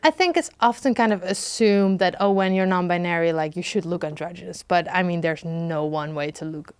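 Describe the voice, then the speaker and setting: A woman guest on a podcast, talking in a relaxed way full of "like" and "you know", often trailing off softly. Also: fairly low pitch, a little fast, clean tone, no echo. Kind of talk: casual interview chat.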